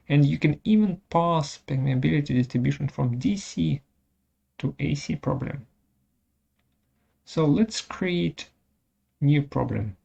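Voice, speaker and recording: A software webinar presenter of unclear sex talks through a demonstration very slowly, in short and halting pieces. The voice is dark and creaky, with a medium pitch. The audio is dull and lo-fi, with occasional mouse clicks. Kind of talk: technical tutorial demonstration, narrated step by step.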